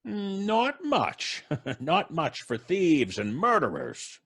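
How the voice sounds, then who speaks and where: An actor playing a folksy, gloating, merciless old country man in an old-time radio drama, with a husky, chuckling rural drawl. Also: a little high, wildly swooping pitch, slow pace, a bit bright, heavily acted. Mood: smug, unyielding.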